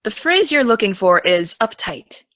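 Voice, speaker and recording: A young American woman playing an excitable, teasing teenage aspiring journalist in naturalistic, casual audio drama dialogue. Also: medium pitch, a little slow, no room sound.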